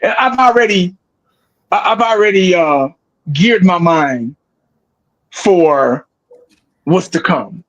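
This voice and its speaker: A man in his forties speaks in a drawl, his voice sitting a touch high for a man. He talks bluntly and profanely as a sports video creator, rambling and restarting his sentences in a loose, casual chat. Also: lively intonation, slow, pauses often, fairly bright tone, highs cut off. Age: 40s.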